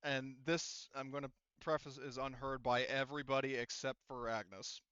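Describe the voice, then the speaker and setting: Smooth-voiced man as game master, slightly dull audio. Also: medium pitch, flat delivery, a bit bright, no echo. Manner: halting, conversational explaining with quoted character lines. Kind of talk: narrating a tabletop roleplaying game, casual.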